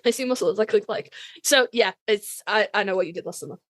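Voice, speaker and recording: A woman chats as a podcast co-host in a close, dry recording. Her pitch sits mid-range, and she talks casually, with plenty of swearing and self-mocking fan enthusiasm. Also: fast, a bit bright, dry recording.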